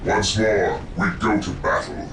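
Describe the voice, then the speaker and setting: An actor playing an ancient entombed war-machine warrior in a video game, grim and zealous, with a booming, solemn delivery. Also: male voice, level tone, unhurried, vocal fry, background noise. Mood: solemn devotion. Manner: solemn, declamatory. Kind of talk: video game unit voice acting. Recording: loud music behind.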